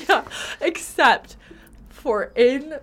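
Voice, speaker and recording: A woman's voice: high-pitched, animated, extremely slow, a bit bright. A tipsy woman co-hosting a comedy podcast, bantering casually with sweary, giggly mock outrage. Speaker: podcast co-host.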